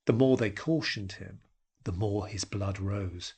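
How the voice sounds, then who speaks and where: A man narrating a scripted audio drama with intense, dramatic, deliberate phrasing. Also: lowish voice, a little mellow, slightly rough.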